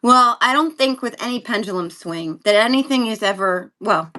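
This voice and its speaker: Bright-voiced American woman, emphatic talker. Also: fairly high pitch, animated, normal speed. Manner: emphatic, repeats phrases for emphasis. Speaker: talk show guest. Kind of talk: candid political interview chat.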